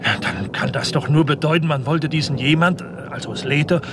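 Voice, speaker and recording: A man's voice: fairly high pitch, a little fast, lightly acted. An actor playing an eager, deferential yet grumbling detective's assistant in a 1960s German radio crime drama, often hesitating as he thinks aloud. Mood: puzzling it out. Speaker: detective's subordinate. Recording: noisy old radio play recording.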